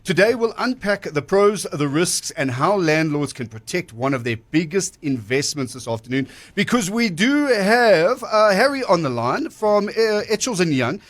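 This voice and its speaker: A man hosts a radio show with a fairly high voice. He introduces his guest and asks questions in a halting way, with lots of fillers and restarts. He sounds like someone talking live in a studio. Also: sing-song. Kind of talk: radio interview hosting.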